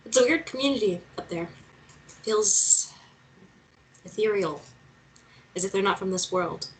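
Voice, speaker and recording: A woman's voice: mid-range voice, lively intonation, unhurried, far from the mic, close to an everyday voice, rough recording. A woman playing an inquisitive, plain-spoken and wry journalist in a screenplay table read. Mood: matter-of-fact. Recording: clear background noise.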